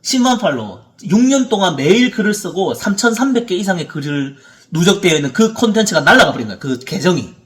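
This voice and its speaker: Expressive man in his thirties, motivational seminar. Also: high-pitched, fast talker. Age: 30s. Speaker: motivational speaker, author and coach.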